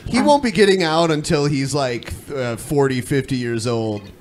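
A man's voice: medium pitch, animated, normal speed. A man hosting a show in casual, profane banter, blunt and teasing. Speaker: show host.

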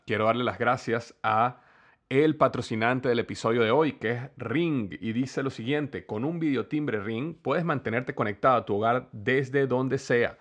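A man's voice: medium pitch, fairly bright tone. A man hosting a podcast, introducing a guest and reading a sponsor ad in an eager, friendly way with frequent fillers. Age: young adult to middle-aged, has a son. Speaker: podcast host.

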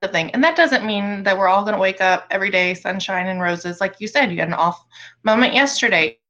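A woman co-hosts a casual chat. She sounds reassuring and laughs easily. Her bright, forceful voice comes through a dull, lo-fi recording. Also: mid-range voice, lively intonation, fairly quick.

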